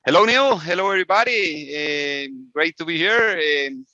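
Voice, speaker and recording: A man from Colombia talks in English with a Spanish accent, bright and slightly high in tone. He restarts his sentences often and laughs easily. The dry, muffled audio comes from a video call. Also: expressive, moderate pace, no echo. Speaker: guest inventor.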